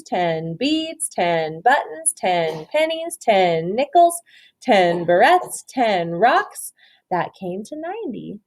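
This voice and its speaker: Woman, lively kindergarten teacher, swooping intonation, reading a storybook and quizzing pupils on counting. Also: medium pitch. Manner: prompting, instructive, praising pupils. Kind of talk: picture book read-aloud with counting questions to young children.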